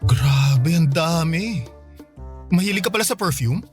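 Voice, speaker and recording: Earnest young Filipino suitor. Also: male voice, on the high side, animated, measured pace, soft background music. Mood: curious, impressed. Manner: earnest, sweet-talking. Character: earnest, thrifty young male suitor, sweet-talking boyfriend. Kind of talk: Tagalog radio romance drama dialogue.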